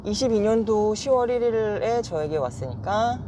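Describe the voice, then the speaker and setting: A man in his forties, a car owner chatting easily in an interview, hesitant and quick to chuckle. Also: high-pitched, very animated. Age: 40s. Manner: easygoing, chuckles often, hesitant fillers. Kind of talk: casual interview chat. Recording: outdoor street noise behind.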